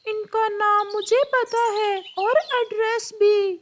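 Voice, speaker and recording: Orphanage keeper bird for a cartoon, played by a woman, very high voice, knowing, frank and emphatic. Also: level tone, takes it slowly, a little hiss or hum. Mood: frank, revealing. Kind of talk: Hindi cartoon story acting.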